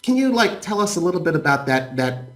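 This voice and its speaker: A man's voice: on the high side, sing-song, fast, fairly bright tone. A man interviewing a guest, asking questions in a hesitant way with many fillers.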